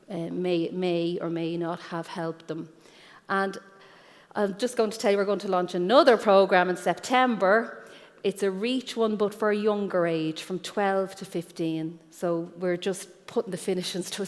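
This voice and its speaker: Irish woman presenting in a reverberant hall. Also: fairly low pitch. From Ireland. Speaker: guest speaker, careers guidance professional. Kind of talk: conference presentation. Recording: echoey hall with long reverb.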